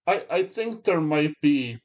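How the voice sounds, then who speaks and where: A man with a voice a bit higher than average speaks as a podcast co-host. He is hesitant in casual film discussion, often circling back to repeat a phrase before moving on. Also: animated, a little slow, darkish tone, muffled recording.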